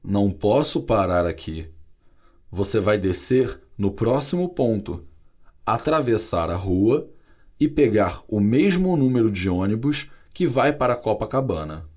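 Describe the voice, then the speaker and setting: Slow, deliberate male lesson narrator. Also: fairly low pitch, sing-song, a little mellow. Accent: Brazilian Portuguese. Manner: slow, clear, carefully articulated. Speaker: language course narrator and dialogue reader. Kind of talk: slow scripted language-lesson reading.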